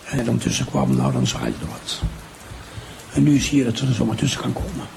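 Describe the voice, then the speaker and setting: A man's voice: medium pitch, very animated, creaky, a little mellow. An older man playing a boastful, roguish crime boss and charming father in a radio play, drawing out and savouring his words. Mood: contented, relishing. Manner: drawn-out, self-satisfied, savouring his words. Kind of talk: improvised radio play dialogue. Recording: noisy room ambience.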